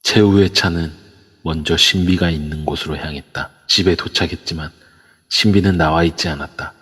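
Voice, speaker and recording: A man narrating an amateur audio drama in steady storytelling form. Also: deep voice, moderate pace, slightly rough. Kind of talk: scripted narration. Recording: reverb-heavy online audio.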